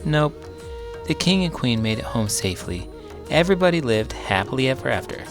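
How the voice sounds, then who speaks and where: A man voicing a shy, earnest young girl in a children's storybook, with a gentle manner. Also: medium pitch, sing-song, a little fast, voice pushed up. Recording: music playing behind the voice.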